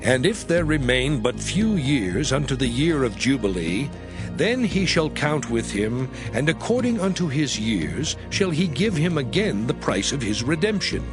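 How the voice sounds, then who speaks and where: A man giving a dramatized Bible reading in a solemn, measured, declamatory manner. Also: mid-range voice, big pitch swings. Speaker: scripture narrator. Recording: music under the voice. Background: prominent music.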